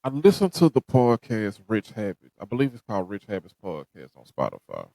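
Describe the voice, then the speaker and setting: A man's voice: fairly low pitch, huge pitch swings, fairly quick, vocal fry, mellow, dry recording, low-quality recording. A man hosting a podcast, giving a direct, motivational talk that repeats its points. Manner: preachy, direct, repeats himself. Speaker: podcast host.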